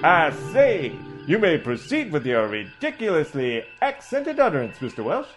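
A man playing a pompous old ship's doctor, talking in a florid, preachy drawl. The voice sits high and swings widely. Faint music plays under this comedy space audio drama. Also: wildly swooping pitch, moderate pace.

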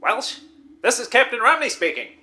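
A man's voice: very high voice, big pitch swings, normal speed, sharp tone, exaggerated voice. A man voicing a pompous, self-important male starship captain in a comedy audio drama. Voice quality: pompous, repeats his own full title.

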